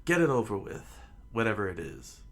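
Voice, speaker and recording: A man's voice: lowish voice, huge pitch swings, normal speed, gravelly, fairly bright tone, exaggerated voice. A man voicing a jovial male pirate captain who teases with hearty laughter. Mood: teasing.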